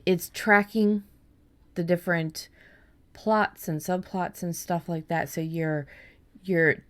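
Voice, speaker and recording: A woman hosting a writing podcast in casual chat, talking in quick bursts with many restarts and fillers. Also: deep voice. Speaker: podcast host.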